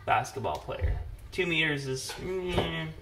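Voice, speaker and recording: A man's voice: on the high side, animated, slow, gravelly. A middle-aged man chatting casually as he hosts a drawing session. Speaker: host of a family drawing channel. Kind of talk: casual chat while drawing. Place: indoors at a wooden table. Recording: noisy home recording with clicks.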